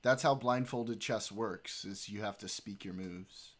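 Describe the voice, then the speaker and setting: A man's voice: on the low side, a little slow, slight background noise. A man hosting a livestream, chatting casually with his chat while he plays a game. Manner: laid-back, talks to chat while playing. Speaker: livestream host.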